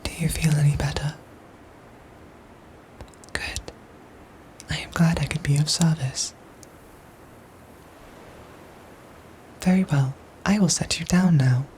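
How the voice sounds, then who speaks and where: Woman as android soldier for ASMR drama: low, soft and whispery, formal, measured, gently protective, over noisy ambience. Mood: gentle, caring. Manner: measured, formal, robotic phrasing with careful pauses. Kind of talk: ASMR audio roleplay acting.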